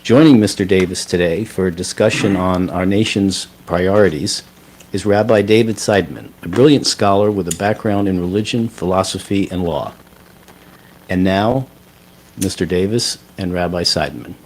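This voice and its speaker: A man reading a scripted show introduction in a measured announcer manner. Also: deep voice, darkish tone, poor audio. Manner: smooth, measured announcer read.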